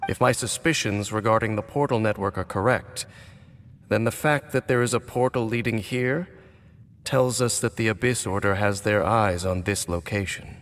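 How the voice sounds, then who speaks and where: A man voicing a reserved swordsman who speaks in a measured, formal way. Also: on the low side, a little hiss or hum, lightly acted. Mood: grave. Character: grave, formal male swordsman with a lost homeland. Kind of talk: video game dialogue. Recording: game audio over faint music.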